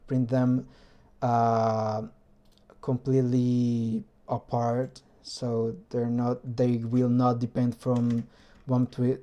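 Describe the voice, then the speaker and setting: Slow, halting man with a Spanish accent. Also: medium pitch, dark tone. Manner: hesitant, searching for words in a second language. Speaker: hobbyist maker explaining a 3D-printed engine. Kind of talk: casual explanatory vlog.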